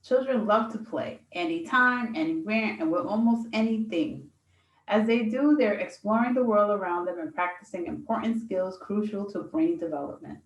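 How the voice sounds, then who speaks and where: Woman, medium pitch, children's program host, scripted welcome for families, echoey room sound. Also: moderate pace.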